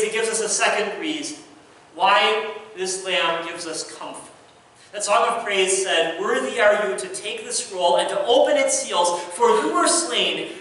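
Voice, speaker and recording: A man in his thirties preaching a sermon from the pulpit, earnest, moving between hushed lines and raised emphasis. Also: high-pitched, slight background noise. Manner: earnest, swings from soft hush to raised emphasis. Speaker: pastor. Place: large church sanctuary, at the pulpit. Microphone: distant pulpit mic. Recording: echoey church from far off.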